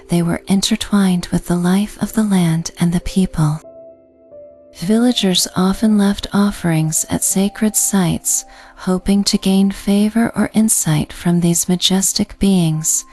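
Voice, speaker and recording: A woman narrating a scripted bedtime sleep story in a gentle, calming, meditative way. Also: medium pitch. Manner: slow, gentle, calming delivery. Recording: soft music behind the voice. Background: background music.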